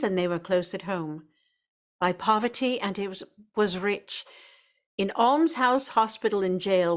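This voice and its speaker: A woman narrating a radio drama as a storyteller, in a measured, literary manner. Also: medium pitch.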